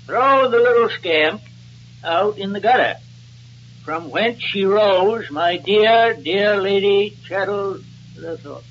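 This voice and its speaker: A folksy farmer turned amateur thespian, homespun and a little nervous, stilted in delivery. The voice is pitched high for a man. The old recording is dull and noisy. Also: slow. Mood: stilted reciting.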